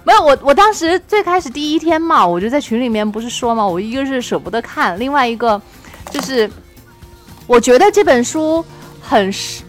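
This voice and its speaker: 一位女性脱口秀主播，随意聊天，说话热情夸赞、表情丰富、爱笑。. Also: female voice, high voice, a little fast, faint music behind. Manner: gushing, giggly, expressive. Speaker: podcast co-host. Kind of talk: casual banter on a talk show.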